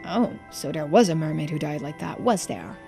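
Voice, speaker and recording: A woman voicing a composed, formal investigator in a mystery game, speaking in a measured, precise way. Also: deep voice, expressive, vocal fry, mellow, soft background music, voice pushed down. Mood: thoughtful.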